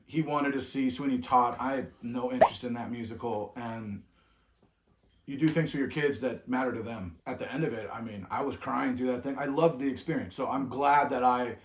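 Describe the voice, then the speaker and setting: Middle-aged guy co-hosting a travel vlog, loose rambly talk, lots of restarts, echoey room. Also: mid-range voice. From California. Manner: rambling, with many restarts and trailing off. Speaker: vlog co-host. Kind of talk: reflective casual chat.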